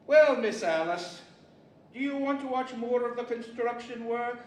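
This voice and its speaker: A man's voice: extremely high pitch, unhurried. An older man playing an imperious djinn, boss of the deserts, pompous and scolding in a radio theater style. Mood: wry. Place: large library event room, live stage. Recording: distant, echoey live hall with faint noise.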